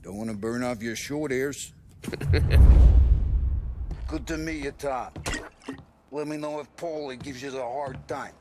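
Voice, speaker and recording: A man playing a loudmouthed, jovial mob arms supplier with an Italian-American New York accent in a video game. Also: medium pitch, unhurried, long pauses, heavy background noise, natural voice. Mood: jovial, sly. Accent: New York-style Italian-American. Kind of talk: video game cutscene acting.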